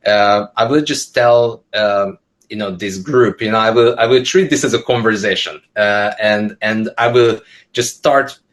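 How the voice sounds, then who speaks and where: A man's voice: lowish voice, a little slow, fairly bright tone. A man in his thirties from Hungary, talking about his work to a webinar panel over a video call, conversational and full of fillers. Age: 30s. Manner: many fillers and restarts, conversational. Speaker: panel speaker, entrepreneur. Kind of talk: casual spoken talk. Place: indoor room, video call.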